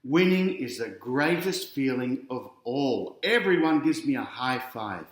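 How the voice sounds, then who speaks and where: A man's voice: medium pitch, sing-song, unhurried. Echoey Australian man reading to children. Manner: chatty, directly addressing young listeners. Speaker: author reading his picture book aloud. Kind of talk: children's storybook reading with casual asides.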